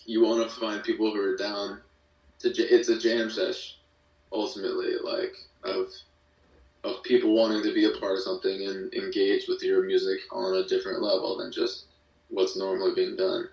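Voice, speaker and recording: A man's voice: deep voice, flat delivery, normal speed, a little mellow. A man talking casually and enthusiastically as an interview guest, with slangy, informal phrasing. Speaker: guest, musician and audio drama creator. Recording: echoey room, distant from mic.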